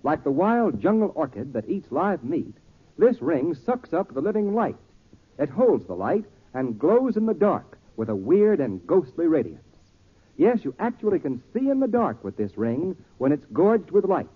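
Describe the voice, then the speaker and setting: A thin, tinny vintage radio recording of a male announcer. His voice is highish and rough-edged, with very lively intonation as he delivers an emphatic, theatrical sales pitch. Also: normal speed. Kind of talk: 1940s radio advertisement.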